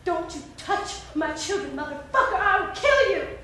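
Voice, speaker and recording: A woman's voice: very high-pitched, fast talker, fairly bright tone, far from the mic. A woman voicing a fed-up young mother, fierce, scornful and defiant, in a theatrical monologue. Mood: low menace. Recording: echoey hall, faint noise.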